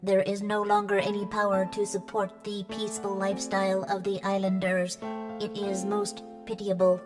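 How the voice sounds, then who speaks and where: A helpful robot from a video game voiced by a woman explains things in a formal, analytical way. The delivery is precise and flat in pitch, with music clearly playing behind. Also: moderate pace, natural voice. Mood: measured, concerned. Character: polite, analytical talking water-pump robot helper. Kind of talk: video game cutscene acting.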